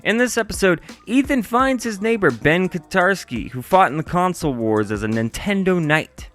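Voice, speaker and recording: Animated male reviewer, light music underneath. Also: sits high, normal speed. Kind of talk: scripted review narration.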